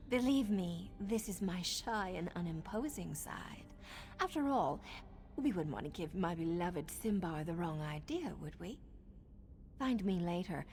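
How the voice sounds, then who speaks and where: An actress voicing a flirtatious, wicked noblewoman assassin in a video game, with a teasing, breathy manner. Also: fairly low pitch, darkish tone, slight background noise. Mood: playful, seductive. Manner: teasing, coquettish, breathy asides. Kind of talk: video game character acting. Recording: background music under the voice.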